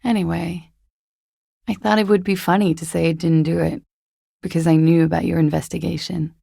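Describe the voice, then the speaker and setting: Casting: a secretive young female disco dancer in a game, coy and understated, very gravelly fry, close dry sound. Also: lowish voice, level tone, moderate pace, mellow, no room sound. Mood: coolly evasive. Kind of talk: video game voice acting.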